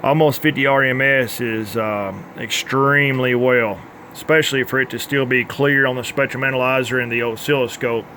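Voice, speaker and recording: A man with a mid-pitched voice talks through technical work in a plainspoken, informal way, like a repair technician at his bench. There is faint noise behind him. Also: fairly even intonation, normal speed, bright, forceful tone. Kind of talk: informal workbench demonstration.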